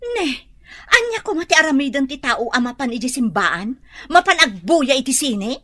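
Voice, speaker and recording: A woman's voice: high-pitched, very animated, normal speed, sharp tone, heavily acted. A woman playing a haughty, touchy wealthy employer in an audio drama, scolding and scornful. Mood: impatient. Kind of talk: melodramatic audio drama acting.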